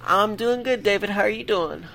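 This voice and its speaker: A man's voice: high voice, normal speed, creaky, sharp tone. A man hosting his own interview show, talking loosely and rambling with fillers. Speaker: podcast host. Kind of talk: casual interview. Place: home desktop recording setup.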